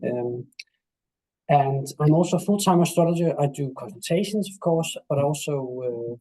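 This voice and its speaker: Man, higher-pitched voice, calm, measured video-call intro. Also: rough recording. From Denmark. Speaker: astrologer. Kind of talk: casual self-introduction.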